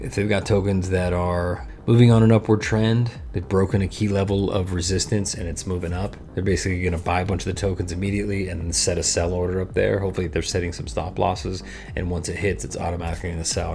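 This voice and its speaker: Man in his thirties, low gravelly voice, chatty and frank host, faint music under him. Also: a little mellow. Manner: chatty, frank, fillers like "you know" and "right?". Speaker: crypto investing YouTuber. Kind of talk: casual screen-share review. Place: small room with purple and blue lights.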